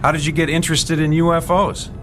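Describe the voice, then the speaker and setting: A man's voice: on the high side, little pitch movement, quick pace, a bit bright, slight background noise, natural voice. An actor playing a skeptical, easygoing male UFO investigator in a radio drama, speaking in a dry, teasing way. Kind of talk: radio drama acting, conversational.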